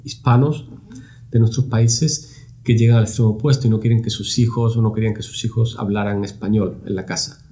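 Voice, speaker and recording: A man's voice: fairly low pitch, fairly even intonation, brisk, warm tone. A middle-aged man from Spain, a guest answering interview questions in a reflective way, often repeating words as he searches for phrasing. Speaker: university lab director, guest.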